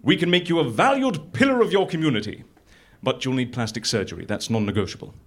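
A man acting in a live audio comedy as a brisk, matter-of-fact fixer who deals with clients in a deadpan, businesslike way. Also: medium pitch. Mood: brisk, persuasive. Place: live on stage at a festival venue.

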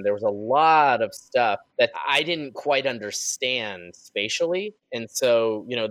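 A man's voice: medium pitch, fairly bright tone, dry recording. Man, casual group chat, informal rambling delivery, dry room-free sound, podcast sound designer.

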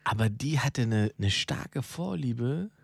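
A man with a medium-pitched voice talks as a podcast co-host in a casual discussion. He explains his points at length in plain, blunt slang. The sound is dry, with little room on it. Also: expressive, slow pace, gravelly, dry recording.

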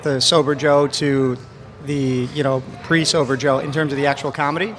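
A man's voice: mid-range voice, level tone, normal speed, faint music behind. A man co-hosting a podcast, asking rambling, supportive interview questions. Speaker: podcast co-host. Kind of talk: casual interview.

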